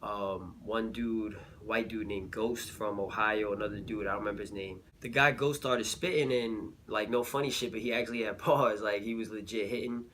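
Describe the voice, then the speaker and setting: A man with a low voice who sounds a little under the weather. He talks through a casual recap, loose and full of fillers and slang, with faint noise in the background. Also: steady tone, moderate pace, few pauses, a bit bright. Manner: laid-back, filler-heavy slang. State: a little sick. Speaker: battle rap reviewer.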